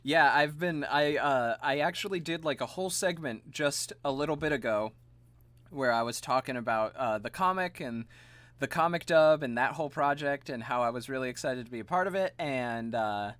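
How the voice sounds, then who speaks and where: A man streaming live, chatting casually and laughing at himself, his voice slightly gravelly and tired. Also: medium pitch, normal speed, fairly bright tone, dry recording. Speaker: streamer and voice actor.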